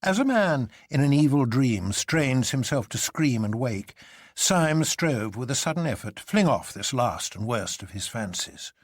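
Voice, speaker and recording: A man narrating literary fiction as an audiobook, with expressive, dramatic and deliberate phrasing. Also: medium pitch, no echo, clean studio sound.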